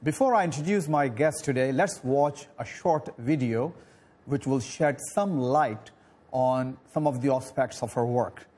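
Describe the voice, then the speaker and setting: A man from Pakistan hosting a studio interview show, speaking haltingly with many fillers and restarts. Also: medium pitch, a little mellow, breathy edge. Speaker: TV talk show host.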